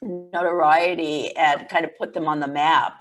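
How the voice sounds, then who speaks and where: A woman hosting a podcast, telling anecdotes in a measured way. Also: low-pitched, fairly even intonation. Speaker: podcast host. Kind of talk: podcast conversation.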